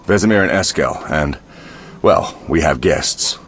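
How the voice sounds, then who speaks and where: A man playing a gruff, scornful monster hunter in a video game, curt and sardonic in his delivery. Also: rumbling low, animated, a little slow, fairly bright tone, full character voice. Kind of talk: video game dialogue acting.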